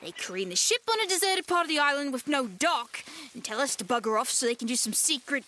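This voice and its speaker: Woman as cautious indignant kid, high bright voice, swooping delivery, audio adventure drama. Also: expressive, quick pace, slight background noise. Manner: emphatic, exasperated. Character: anxious, cautious, indignant child sailing runaway.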